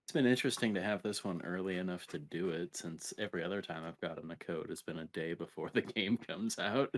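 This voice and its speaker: A man's voice: deep voice, brisk, dry recording. A man co-hosting a podcast in casual chat, with a wry, understated delivery. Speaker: podcast co-host.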